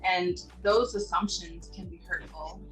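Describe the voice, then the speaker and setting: Earnest woman, distant mic, soft music. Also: fairly low pitch, fairly even intonation, a little slow. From Southern California. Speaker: community member sharing experiences. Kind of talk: personal testimony in a video.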